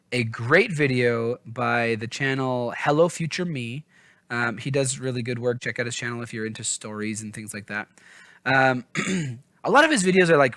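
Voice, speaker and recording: A man's voice: mid-range voice, fairly bright tone, no room sound. A man chatting informally to viewers about a book, rambling and self-deprecating as he works out his thoughts. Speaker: book review vlogger.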